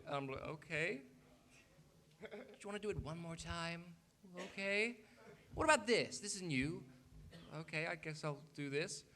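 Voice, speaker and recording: A man's voice: on the high side, lively intonation, a little slow, long pauses, sharp tone. A man telling a rambling anecdote on a convention panel, acting out bits of dialogue into a microphone. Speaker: voice actor on a fan panel. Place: convention panel room, indoors. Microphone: handheld microphone.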